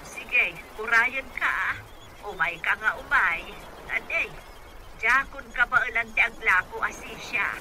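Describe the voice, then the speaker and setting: A woman's voice: on the high side, unhurried, background noise, lightly acted. A woman playing an eager shopkeeper aunt talking to her niece in an Ilocano radio comedy drama. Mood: eager, coaxing.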